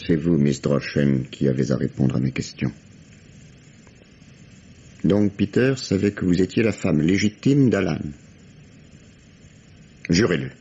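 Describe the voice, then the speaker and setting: A very low, husky male voice gives us a relentless, worn-out police interrogator. He presses with menacing, falsely paternal insistence, in the manner of a 1950s radio mystery. The recording is dull and vintage. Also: lively intonation, measured pace, long pauses, dark tone, heavily acted. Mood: stern, pressing. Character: gruff, weary, relentless male police detective interrogating a suspect.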